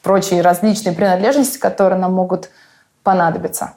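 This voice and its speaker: A woman chatting casually about learning English, animated and laughing as she acts out phrases. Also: fairly low pitch, fairly quick.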